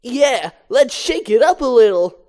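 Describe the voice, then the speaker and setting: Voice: medium pitch, a little slow, voice pushed up. A reader voicing an eager young male bee on his graduation morning. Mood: excited. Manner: bright, excited.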